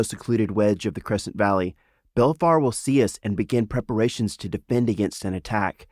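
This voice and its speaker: A man's voice: fairly low pitch, a little mellow, no echo, clean studio sound, close to an everyday voice. A man voicing a resolute young animal noblewoman in a storybook, firm and purposeful.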